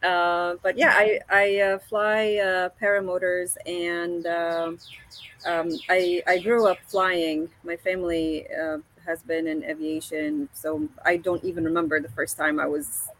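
A woman, a paramotor pilot speaking as an interview guest, hesitant with many pauses and restarts. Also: fairly low pitch, flat delivery, a bit bright, low-quality recording. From Egypt. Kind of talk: casual video-call interview.

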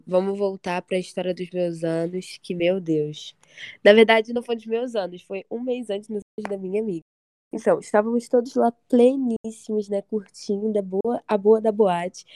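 A young woman from Rio de Janeiro speaks with a Carioca accent, high-pitched and warm in tone. She tells stories with dramatic flair, in a dry, close recording. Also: no echo. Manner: animated, dramatic storytelling. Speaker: podcast guest. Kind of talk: casual chat.

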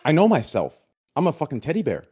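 A deep-voiced man chatting candidly as an interview guest, excitable and blunt, swearing casually and often checking that he is understood. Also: fast, breathy edge. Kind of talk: casual confessional chat.

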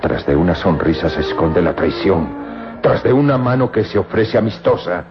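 A man acting in an old-time radio drama as a suspicious, forceful Middle Eastern desert guide, speaking in emphatic declamation. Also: low voice, expressive, moderate pace. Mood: grave warning. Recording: muffled old radio recording, loud music behind.

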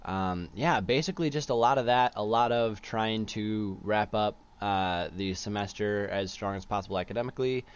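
A young man in casual chat as a podcast co-host who runs tabletop games, relaxed and rambling, with plenty of fillers and restarts. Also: lowish voice, moderate pace, few pauses, clean tone. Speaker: student podcast co-host, game master. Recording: dull lo-fi audio.

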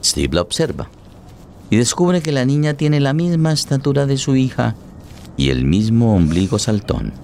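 An actor playing a grave, solemn narrator in a tragic radio play, with deliberate phrasing. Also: male voice, medium pitch, big pitch swings, vocal fry, a little mellow, a little hiss or hum. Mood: grave, tense.

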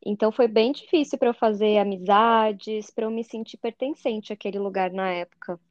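A woman's voice: mid-range voice, fairly even intonation, normal speed, no echo, dull audio. A woman from Curitiba with a Curitiba Brazilian Portuguese accent, a podcast co-host in casual conversation who acts out remembered dialogue as she tells stories.

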